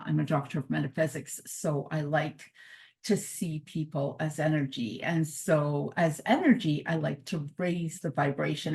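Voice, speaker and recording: A middle-aged woman with a fairly low voice gives an upbeat motivational talk. She speaks as a mindset coach, laughing often and hammering points home through repetition. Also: creaky. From Canada, born in Croatia. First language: Croatian.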